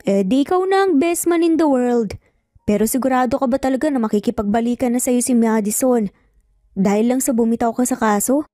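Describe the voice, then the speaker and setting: Skeptical, mellow male best friend voiced by woman. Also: fairly high pitch, a little fast, no room sound, clean studio sound, faint music behind, close to an everyday voice. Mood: teasing. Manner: questioning, incredulous.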